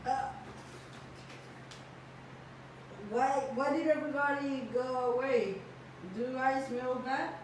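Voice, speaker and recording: Slow, very echoey naive sea creature played by a woman. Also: high-pitched, level tone. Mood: puzzled. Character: cheerful, naive little sea creature without a nose. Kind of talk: amateur puppet show acting. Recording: echoey room, distant mic, faint noise.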